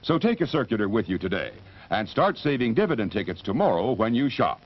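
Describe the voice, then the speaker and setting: Animated, rough-edged vintage male cinema announcer. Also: lowish voice, brisk. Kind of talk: vintage movie theater promotional announcement. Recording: dull, worn old film soundtrack.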